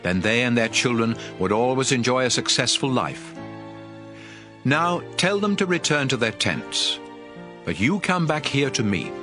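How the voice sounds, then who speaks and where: A man's voice: medium pitch, close to an everyday voice. An actor playing a solemn, commanding male prophet who addresses his people with emphatic oratory in a dramatized audio Bible.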